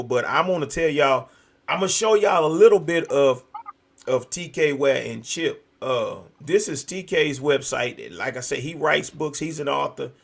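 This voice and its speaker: An American man hosting a talk show, speaking in an animated, preacher-like conversational way, emphatic and often repeating phrases for effect. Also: mid-range voice, vocal fry. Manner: emphatic, repetitive. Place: virtual video show.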